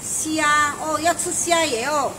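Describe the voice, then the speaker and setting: A bubbly middle-aged woman runs a casual food show, her voice sitting fairly high. She chats enthusiastically, full of exclamations and fillers. The noisy restaurant around her comes through clearly on a handheld phone camera. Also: steady tone, slow pace. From Malaysia. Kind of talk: casual restaurant review chat. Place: inside a restaurant.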